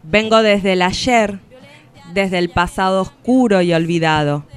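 An actress playing a defiant woman who speaks for all women, in a solemn, deliberate radio theatre recitation. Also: medium pitch, over music, lightly acted. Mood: solemn, accusing. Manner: solemn, deliberate, poetic declamation. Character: defiant collective woman of all ages, grieving and accusing.

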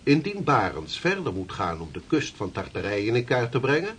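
An actor playing a courteous, pious, learned gentleman in a historical radio drama, speaking formally and deliberately. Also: mid-range voice, big pitch swings, a little hiss or hum. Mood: firm, explaining.

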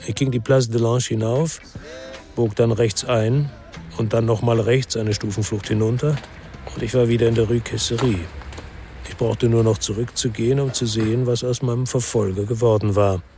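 A man's voice: medium pitch, fairly even intonation, moderate pace, warm tone, soft background music, lightly acted. An actor playing a world-weary male ex-policeman who narrates his own crime story in a sober, laconic way for radio drama. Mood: brooding.

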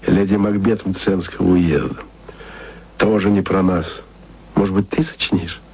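A man playing a wry, jealous, bookish man in a radio play, musing ironically and confiding quietly to his lover. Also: low-pitched, fairly even intonation, measured pace, husky, exaggerated voice. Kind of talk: intimate radio drama monologue.

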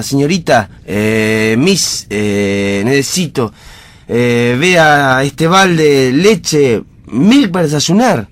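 A man playing a shy, tender young Argentine soldier in a radio drama, earnest and hesitant, with an Argentine accent. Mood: shy, smitten. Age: young adult. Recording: rumbly background noise.

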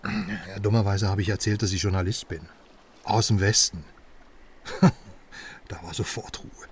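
A man's voice: fairly low pitch, fairly even intonation, a little slow, distant mic, a little hiss or hum, close to an everyday voice. A man playing a pushy, self-assured journalist in a radio crime drama, talking at length in a persuasive, self-important way. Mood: confiding, wry. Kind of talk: naturalistic radio drama dialogue.

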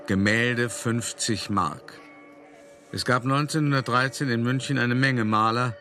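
Urbane, deliberate art dealer, confiding his past. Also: on the low side, bright, forceful tone. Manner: deliberate, confiding, addressing the listener directly. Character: calculating male art dealer, urbane, self-justifying, recounting his past. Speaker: narrator of a radio play. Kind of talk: first-person dramatic monologue in a German radio play.

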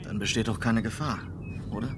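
A man's voice: on the low side, fairly quick, very noisy, natural voice. An actor playing an upright, formal city policeman out West in a German radio play. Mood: cautious, hushed. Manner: earnest, correct, formal.

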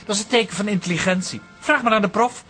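An actor playing a grumbling, worried shop owner with a Netherlands Dutch accent and a sarcastic edge in a radio play. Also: male voice, high-pitched, quick pace, bright, forceful tone. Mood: irritated. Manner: grumpy, sarcastic. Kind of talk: radio comedy-drama acting. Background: music.

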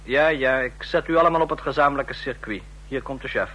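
A rushed underling in a radio play, talks fast, bright businesslike voice, bit of hiss. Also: male voice, medium pitch. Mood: hurried. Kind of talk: Dutch radio play acting.

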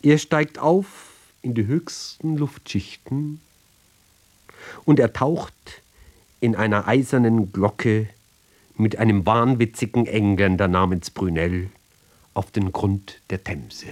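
A man giving a measured literary recitation for radio, speaking deliberately in short phrases with pauses between them. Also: lowish voice, a little mellow. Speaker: reader.

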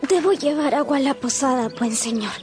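A woman's voice: high-pitched, brisk, music in the background, close to an everyday voice. An actress playing a sweet, polite little servant girl in a radio drama, with a meek, childlike manner. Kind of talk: Spanish-language radio drama acting.

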